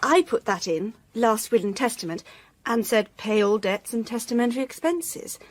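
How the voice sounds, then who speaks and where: A woman's voice: a little high, animated, moderate pace. An actress playing a careless, breezy young English society woman in a radio drama, chatty and scatterbrained. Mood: breezy, casual. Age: young adult.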